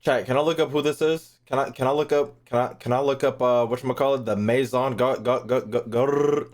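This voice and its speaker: A man's voice: mid-range voice, fairly even intonation, a little fast. A man streaming in a casual, slangy way, swearing freely and repeating phrases as he reacts to game lore. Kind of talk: casual live reaction chat.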